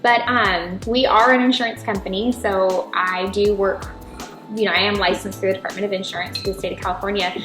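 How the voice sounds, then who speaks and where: A woman's voice: mid-range voice, steady tone, a little fast. A middle-aged American woman giving casual, chatty explanations as an interview guest, often checking in with "right?". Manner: chatty, informal, peppers talk with "right?" and "you know". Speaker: interview guest, title insurance representative. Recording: roomy echo, music behind the voice.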